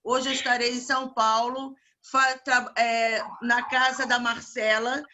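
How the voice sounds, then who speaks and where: A woman's voice: on the high side, little pitch movement, measured pace, lo-fi sound. A woman speaking casually in an online spiritual meeting, an organizer who talks with frequent restarts. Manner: warm religious farewells, many restarts. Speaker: event organizer and evangelizer. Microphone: video call.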